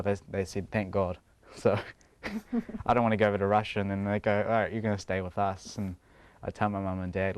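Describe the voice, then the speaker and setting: A man's voice: fairly low pitch, no echo. A young man with a New Zealand accent, chatting casually in a TV interview and laughing often between his thoughts.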